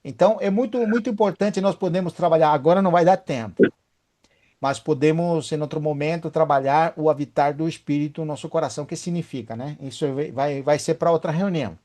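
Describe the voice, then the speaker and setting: A man's voice: a little high, a little fast, dark tone. A man leading a Bible study, emphatic and often asking his listeners for agreement.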